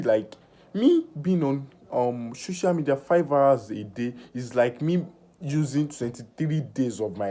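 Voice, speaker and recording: A nineteen-year-old man talking through a personal vlog in earnest self-talk, halting with many fillers and restarts. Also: medium pitch, mellow. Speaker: vlogger.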